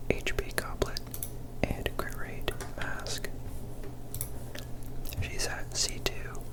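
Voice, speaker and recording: A gaming host whispering breathy ASMR commentary over gameplay, gentle and unhurried, close to the microphone. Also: low-quality recording.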